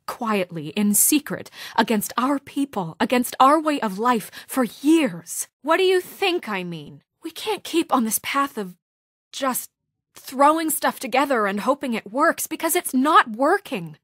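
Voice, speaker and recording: A woman's voice: high-pitched, sing-song, unhurried, fairly bright tone, no echo, studio quality, heavily acted. A woman playing a principled young deputy mayor in a story video game, speaking with earnest, firm exasperation in naturalistic dramatic dialogue. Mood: grave, intent.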